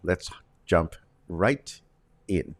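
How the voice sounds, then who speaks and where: A man's voice: low voice, lively intonation, drawn-out words, no echo, studio quality. A man presenting as a show host in a chatty, conversational way with wry asides. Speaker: host presenting news and interviews.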